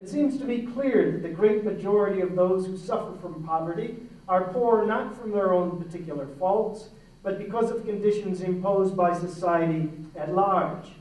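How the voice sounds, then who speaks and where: Man, fairly high voice, dramatic historical reading, very echoey hall, distant mic. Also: moderate pace, a little mellow. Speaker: reader in a staged dramatic reading. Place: large indoor hall.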